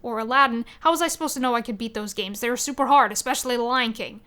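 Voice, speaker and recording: A woman talks casually as a podcast co-host. Her voice sits fairly high and has a clearly bright, forward edge. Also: fast talker.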